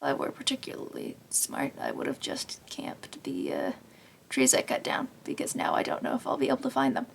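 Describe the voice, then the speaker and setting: Raspy, gravelly-voiced woman playing Minecraft. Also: high-pitched, huge pitch swings, a little fast. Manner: rambling, self-deprecating, talks to herself while playing. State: slightly raspy from long talking. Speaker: gaming channel host. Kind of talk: casual Minecraft let's play commentary.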